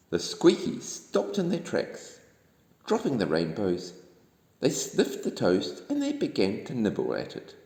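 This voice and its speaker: A mellow male storyteller reads a bedtime story to young children. He takes his time, and his pitch swings widely and expressively. The room rings with long reverb. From New Zealand. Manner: gentle, expressive, addressing young listeners. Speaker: storybook reader.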